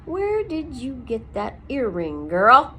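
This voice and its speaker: A woman's voice: on the high side, sing-song, a little slow, a little hiss or hum. A woman reading aloud and voicing a menacing old male owl who shrieks, demands and threatens. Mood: shrieking.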